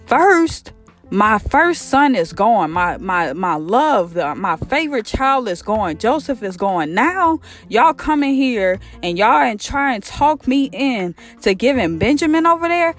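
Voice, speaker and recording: A woman's voice: mid-range voice, expressive, normal speed, soft background music, close to an everyday voice. A woman voicing an exasperated, grieving old father who pleads and scolds his sons in colloquial speech.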